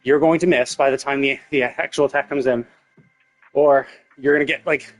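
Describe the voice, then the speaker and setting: Male game streamer, mid-pitched, casual and analytical, rambling through his thoughts, music behind him. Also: steady tone, moderate pace, rough recording. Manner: rambling, analytical, thinking aloud. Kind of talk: casual gameplay commentary.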